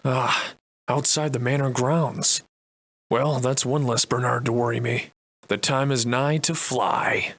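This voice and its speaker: An actor playing a wry, defiant Victorian-era adventurer and monster slayer in a video game, soliloquizing in florid, old-fashioned diction. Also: male voice, mid-range voice, fairly even intonation, measured pace, a bit bright, dry recording. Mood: grave, reflective. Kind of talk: theatrical video game voice lines in archaic English.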